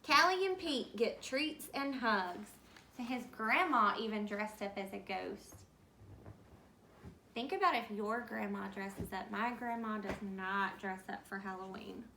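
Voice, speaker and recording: A woman teacher reading a picture book aloud to children, gently pointing out the pictures and explaining. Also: medium pitch, unhurried, vocal fry, a little hiss or hum.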